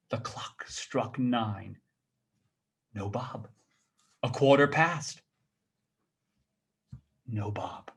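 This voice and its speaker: A male storyteller narrates in a theatrical, expressive style. His voice sits fairly high for a man and swings widely in pitch as he dramatizes the tale. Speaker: storyteller reading aloud.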